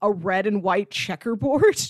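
A woman's voice: mid-range voice, lively intonation, a little slow, no echo. Enthusiastic woman, close dry sound. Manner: enthusiastic, emphatic, hyperbolic. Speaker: podcast co-host. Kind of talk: casual film discussion.

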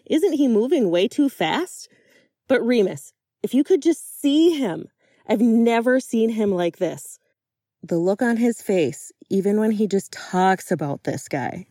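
A woman voicing an excitable, affectionate, chatty friend in a fiction reading, animated and emphatic. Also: medium pitch, measured pace, no echo, clean studio sound. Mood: earnest, warm. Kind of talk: acted dialogue in a fiction reading.

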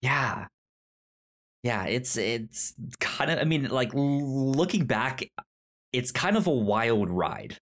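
A man co-hosting a podcast, talking casually about film in a halting, comically exaggerated way. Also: medium pitch, expressive, a bit bright. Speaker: podcast co-host.

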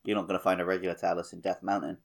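A British man co-hosting a gaming podcast, chatting casually and riffing on jokes in an animated way. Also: sits low, racing, creaky. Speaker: podcast co-host.

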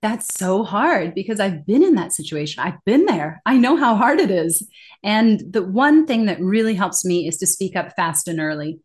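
A woman of middle pitch speaks as an interview guest, explaining her ideas with animated emphasis. She laughs easily and often as she talks. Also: moderate pace. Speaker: interview guest, corporate communication trainer. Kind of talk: conversational interview.

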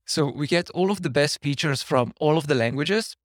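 A man's voice: a little high, moderate pace, dry recording. Rambling man from Slovenia, dry close mic. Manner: rambling, self-deprecating, digressive. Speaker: podcast guest, software engineer. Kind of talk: casual podcast conversation.

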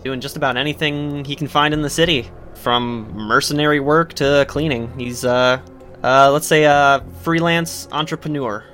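A man's voice: a little high, fairly even intonation, moderate pace, a bit bright. A man chatting casually as a player in a tabletop role-playing game. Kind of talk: casual chat about his game character. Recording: music under the voice. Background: music.

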